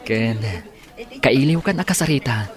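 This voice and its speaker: A man acting a gentle, earnest young village suitor in an Ilocano radio drama. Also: medium pitch, lively intonation, unhurried, natural voice.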